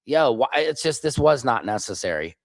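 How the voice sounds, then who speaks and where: A man's voice: mid-range voice, sing-song, normal speed, no room sound. Indignant man hosting a livestream. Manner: heated and indignant, talking back to clips and chat. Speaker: livestream host. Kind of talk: political livestream commentary.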